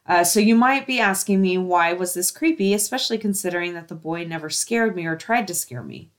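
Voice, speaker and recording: A woman's voice: lowish voice, lively intonation, a little fast. A woman hosting a show, reading listener-submitted stories aloud in a casual, chatty way.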